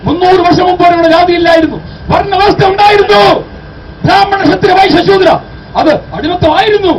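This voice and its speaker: Very high-pitched man orating, far from mic. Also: steady tone, fairly quick. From Kerala, India. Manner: declamatory, exhorting, rhetorical questions. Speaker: activist, public speaker. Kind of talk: impassioned public address. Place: outdoor street rally. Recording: distant mic, outdoor street noise.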